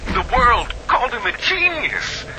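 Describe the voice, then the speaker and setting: A vain, obsessive surgeon in a game audio diary. He muses theatrically and slowly, his pitch swooping widely. Heavy noise covers it like an old radio recording. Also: huge pitch swings, full character voice. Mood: yearning, disdainful. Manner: theatrical, musing, drawn-out. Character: vain, obsessive male surgeon with artistic delusions.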